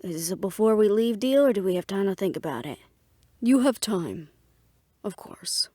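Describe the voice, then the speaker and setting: A woman acting a guarded, businesslike plotter in an audio roleplay drama, speaking in a measured, serious way. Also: mid-range voice, lively intonation, a little mellow, no echo, studio quality, close to an everyday voice. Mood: measured, sincere.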